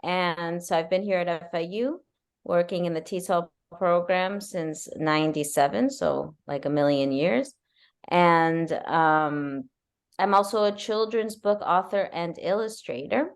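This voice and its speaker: Middle-aged woman, professor, smooth clear voice, fairly low, informal, conversational. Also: fairly even intonation, a little slow. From Argentina and the United States. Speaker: university professor. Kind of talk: conversational self-introduction on a webinar. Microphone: video call.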